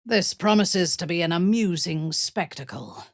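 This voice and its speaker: A woman playing a ruthless, bloodthirsty warrior commander in a video game, with a husky, breathy voice and haughty, formal, biting delivery. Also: lowish voice, animated, no echo, studio quality. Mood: darkly amused. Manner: haughty, measured, formal diction with biting disdain. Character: ruthless, imperious female warrior and cult commander, bloodthirsty, darkly amused. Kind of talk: video game character dialogue.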